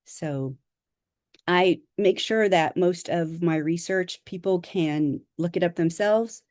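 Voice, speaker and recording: A woman from Southern California, an author introducing herself on a video call, speaking modestly and haltingly with fillers. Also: on the low side, darkish tone, no room sound, studio quality. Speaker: author presenting a workshop.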